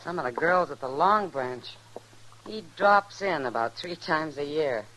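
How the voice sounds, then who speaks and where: A woman's voice: low-pitched, animated, measured pace, fairly bright tone, heavily acted, low-quality recording. An actress playing a frank, worldly American saloon woman in an old radio western, familiar and sardonic. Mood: scornful. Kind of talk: 1950s radio western acting.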